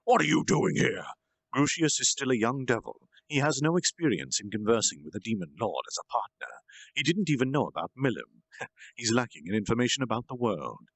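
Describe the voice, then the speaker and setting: Guy doing storytime narration, lively up n down voice, bit of fry, dry room. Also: medium pitch, no echo, poor audio. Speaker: storytime streamer reading aloud. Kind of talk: animated amateur story narration. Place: at home in a reading chair.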